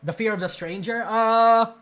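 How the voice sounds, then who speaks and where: Rambling, swearing live-stream reactor. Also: male voice, high voice, fairly even intonation, moderate pace, fairly bright tone, smooth, faint music behind. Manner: rambling, blunt, swears, restarts and trails off. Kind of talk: casual live-stream commentary.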